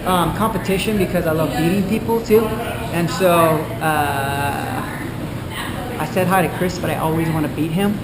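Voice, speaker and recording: A man's voice: a little high, moderate pace. A man in his thirties, from California, answering interview questions in an upbeat, chatty, rambling way with lots of fillers. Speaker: gym member interviewed for a profile. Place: gym. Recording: echoey room with loud ambient noise.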